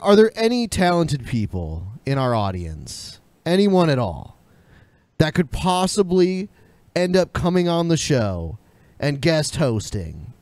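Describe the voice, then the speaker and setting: A man speaks in a clear, slightly high voice with a very animated melody. He rambles informally, as in casual podcast banter. The sound is dry and close, with little room around it. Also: no echo. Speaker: podcast co-host.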